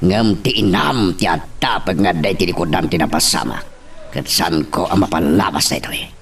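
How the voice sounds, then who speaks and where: Man acting gravelly, deep, deferential official. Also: moderate pace, fairly bright tone. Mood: guarded. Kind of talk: radio drama acting.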